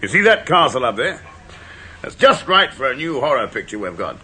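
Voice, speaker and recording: An actor playing a brash, pushy, overbearing film producer in a radio drama, heard over faint hiss. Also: male voice, mid-range voice, sing-song, moderate pace, pushed and bright, breathy edge, full character voice. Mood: hearty.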